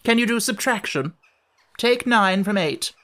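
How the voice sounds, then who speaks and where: A man's voice: high-pitched, expressive, unhurried, pushed and bright, voice pushed up, exaggerated voice. A man voicing an imperious, impatient, scolding queen in a storybook reading, emphatic and bossy. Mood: triumphant, snappy.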